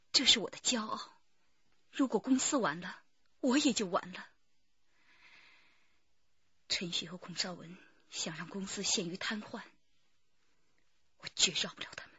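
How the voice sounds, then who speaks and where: An actress playing a proud, strong-willed murder mastermind in a radio drama, confessing in a breathy, intense half-whisper. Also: female voice, mid-range voice, moderate pace, long pauses, bright, forceful tone, no room sound, highs cut off. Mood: bitter, defiant. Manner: intense, defiant.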